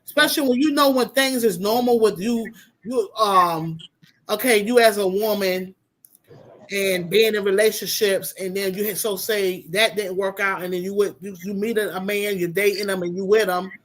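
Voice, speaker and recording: A woman in her fifties chatting on a panel, talking in a relaxed, rambling way with lots of affirmations. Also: mid-range voice, moderate pace. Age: 50s. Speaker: panel co-host. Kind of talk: casual chat.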